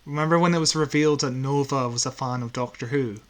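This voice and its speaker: A man's voice: mid-range voice, quick pace. Man with a dry, teasing manner. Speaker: podcast co-host. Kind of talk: casual fan chat.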